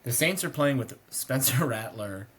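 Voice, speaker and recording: A man co-hosting a sports podcast, hoarse and congested, talking in a relaxed, rambling way. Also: medium pitch, measured pace. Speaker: podcast co-host. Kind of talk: casual sports chat.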